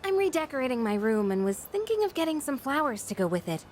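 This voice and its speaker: High, lilting earnest teenage schoolgirl. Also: very animated, fast talker, a little mellow, slight background noise. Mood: cheerful, playful. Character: friendly, earnest teenage schoolgirl, gentle and a little shy. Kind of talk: English video game voice acting. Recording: background music under the voice.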